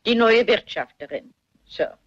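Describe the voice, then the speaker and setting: An older actress playing a shrewd, unflappable lady sleuth in a radio play, dry and polite with quick retorts. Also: a little high, expressive, muffled recording, slight background noise. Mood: prim, shrewd. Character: shrewd, prim, unflappable elderly lady sleuth posing as housekeeper. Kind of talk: German radio play acting.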